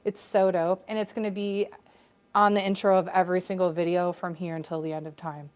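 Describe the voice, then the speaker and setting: A woman's voice: fairly low pitch, level tone, a little fast, a little mellow. Chatty upbeat American woman. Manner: chatty, upbeat, reads out viewer comments. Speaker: co-host of a live stream. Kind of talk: casual live-stream chat.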